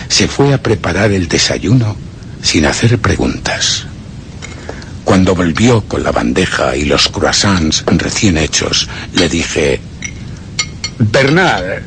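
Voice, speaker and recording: An actor playing a tormented, guilt-ridden gentleman narrating his descent into madness in a horror radio drama, with a brooding literary delivery and a Castilian accent. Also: low voice, sing-song, slow pace, a little hiss or hum, heavily acted. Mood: uneasy, reflective, then tense, commanding. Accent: Castilian Spanish. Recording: music bed behind.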